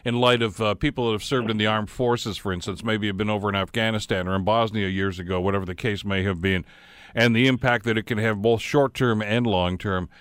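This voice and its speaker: Halting low-voiced talk radio host. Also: male voice, steady tone, fairly bright tone, clear, resonant tone, dry recording, clean studio sound. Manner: halting, frequent restarts and fillers.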